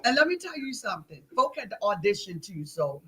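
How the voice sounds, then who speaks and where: Woman, middle-aged, medium pitch, emphatic, folksy, conversational storytelling, interview guest. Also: big pitch swings, a little fast, crowd noise. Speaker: playwright and director, interview guest.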